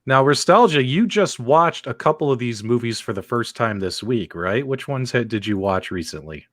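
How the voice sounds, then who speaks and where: Animated man hosting a casual stream. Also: mid-range voice, normal speed, no room sound, studio quality. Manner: animated, laughing, with fillers. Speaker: host. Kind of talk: casual livestream chat.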